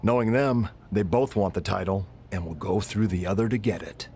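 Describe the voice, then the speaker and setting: A man's voice: sits low, moderate pace, mellow, soft background music. A man playing a weary wrestling official in a video game cutscene, dry and matter-of-fact. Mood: cautioning.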